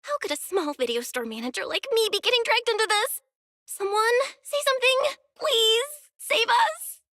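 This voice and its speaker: A woman's voice: very high voice, moderate pace, no room sound, studio quality. A woman voicing a friendly, earnest young female video store manager in an anime-style game, speaking expressively and conversationally. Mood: flustered, dramatic. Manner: expressive, animated, conversational delivery. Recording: background music under the voice.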